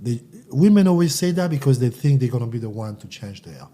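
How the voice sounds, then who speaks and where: A man's voice: medium pitch, expressive, a little fast, dark tone. A man from Central Africa, raised in France, speaking candidly and reflectively as a guest, often restarting his sentences. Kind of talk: casual interview.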